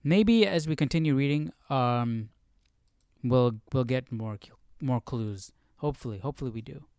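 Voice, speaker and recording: A man hosting a live Bible study, reading and commenting casually in a musing, questioning, halting way. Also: mid-range voice, dark tone, smooth, no echo, studio quality.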